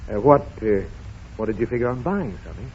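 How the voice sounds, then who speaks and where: Animated, fond radio father played by a man. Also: mid-range voice, brisk, natural voice. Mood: fatherly, coaxing. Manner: fond, hesitant, coaxing. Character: affectionate, gently exasperated father of small girl. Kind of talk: 1930s radio comedy acting. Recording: scratchy, muffled 1930s radio recording.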